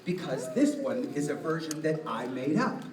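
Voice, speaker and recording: A man's voice: fairly high pitch, animated, normal speed, noisy background. A man, a puppeteer, playfully introducing a live show to children and putting questions to his audience. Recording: echoey room, distant mic, music behind.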